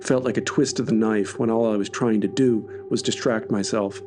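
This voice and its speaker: Low-voiced man narrating a horror story. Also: steady tone, moderate pace, over music. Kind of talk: scripted first-person horror narration.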